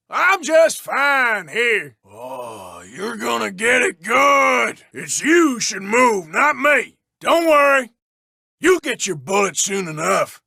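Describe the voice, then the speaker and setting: An actor playing a sneering, violent outlaw in a video game, shouting gravelly threats and insults at lawmen. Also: male voice, very high voice, no room sound, studio quality, exaggerated voice. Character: taunting violent outlaw man fighting lawmen. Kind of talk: video game combat barks.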